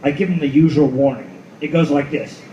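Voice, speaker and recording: A man's voice: a little high, fairly even intonation, brisk, dark tone, muffled recording, close to an everyday voice. A man playing a cynical, world-weary detective who narrates his own noir radio play with profane, sardonic asides. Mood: cold, menacing. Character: hardboiled cynical male detective at the world's end. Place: live reading in a roomy venue. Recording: roomy live recording with faint noise.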